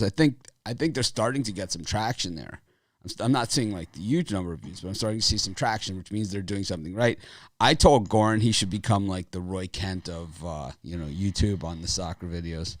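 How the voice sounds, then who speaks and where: A man with a raspy voice hosting a sports betting show, talking casually in a rambling, opinionated way with lots of fillers. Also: low-pitched, moderate pace, no echo, clean studio sound.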